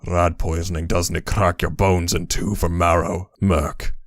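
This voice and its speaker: A man's voice: low voice, level tone, a little slow, no echo, studio quality. A man voicing a bitter, cynical pony raider in a fantasy audio drama, blunt and scornful. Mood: grim, matter-of-fact. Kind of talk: dramatic character acting in an audio story.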